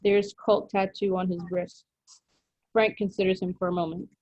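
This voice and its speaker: A woman's voice: mid-range voice, level tone, moderate pace, a little mellow, no echo. A woman reading screenplay stage directions as scripted narration, on a dull, dry recording.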